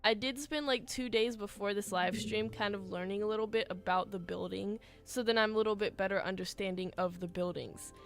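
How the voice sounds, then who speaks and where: A woman livestreaming as she builds a game, talking in a chatty, rambling way with lots of fillers, her voice a little hoarse from a cold. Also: medium pitch, steady tone, a little fast, few pauses. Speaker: game streamer. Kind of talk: casual livestream chat while building.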